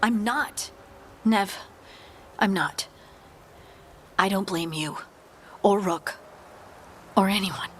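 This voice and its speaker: Young female scout for a fantasy game: earnest, mid-pitched, plain-spoken. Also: slow, pauses often, a bit bright, soft background music. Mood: frustrated, self-blaming. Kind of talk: fantasy video game voice acting.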